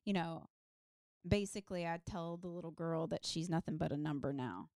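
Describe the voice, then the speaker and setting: Podcast guest: a woman with a slightly low, smooth voice, chatty and rambling, recorded dry. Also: normal speed, a little mellow, no echo. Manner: rambling, many side stories and ums. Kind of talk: casual storytelling chat.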